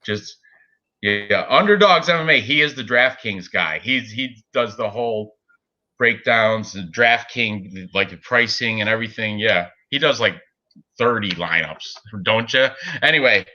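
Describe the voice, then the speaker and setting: A man's voice: on the low side, lively intonation, unhurried, pushed and bright, highs cut off. A man in his forties hosting a casual sports betting chat, rambling with lots of fillers and frequent laughs. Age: 40s. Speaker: podcast host.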